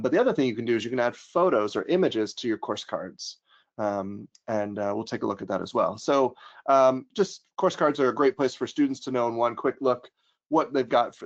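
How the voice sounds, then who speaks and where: An American man working as a trainer, casually walking teachers through software in a webinar, with frequent ums and restarts. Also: mid-range voice, vocal fry. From United States. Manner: casual, many ums and restarts, upbeat when introducing topics. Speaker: trainer and pedagogical consultant. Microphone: computer mic on a video call. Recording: slightly dull webinar audio.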